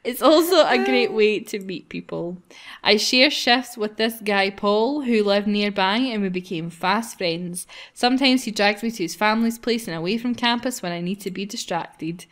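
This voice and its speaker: A woman's voice: mid-range voice, normal speed, a bit bright. A woman hosting a casual group let's play, chatting and reading game text aloud in a playful, giggly way. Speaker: gaming channel host.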